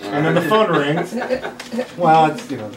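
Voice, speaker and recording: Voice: medium pitch, sing-song, a little slow. A person reading screenplay stage directions aloud at a table read. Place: indoor room, group table read. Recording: roomy distant mic, clear background noise.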